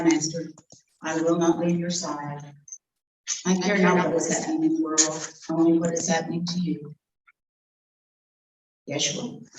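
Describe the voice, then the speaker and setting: A grieving woman disciple mourning at the cross, acted by a woman, anguished and halting in her pleas. Her voice sits low and is heard from across an echoey room through a distant microphone, with faint background hiss. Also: a little mellow, close to an everyday voice. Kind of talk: live dramatic monologue.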